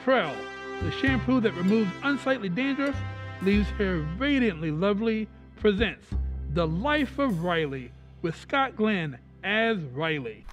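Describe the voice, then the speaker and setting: Casting: male announcer, vintage radio pitchman, high and very lively, declamatory, music behind. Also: a little slow. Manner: declamatory, salesmanlike. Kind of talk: old-time radio announcing. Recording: dull sound under music.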